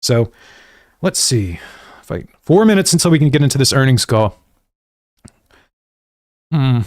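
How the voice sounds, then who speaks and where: An American man talks to his livestream viewers in a loose, rambling style full of slang. His pitch sits in an ordinary middle range for a man, and he is self-deprecating as he goes. The sound is dry and close, with very little room in it. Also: big pitch swings, unhurried, dry recording. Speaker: gaming livestream host. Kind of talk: casual livestream chat to viewers. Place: indoors at a desk.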